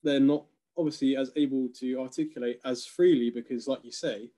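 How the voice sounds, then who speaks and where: Mellow-voiced man thinking aloud. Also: medium pitch, fairly even intonation, a little fast. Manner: thinks aloud with many ums and soft laughs. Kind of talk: casual conversation.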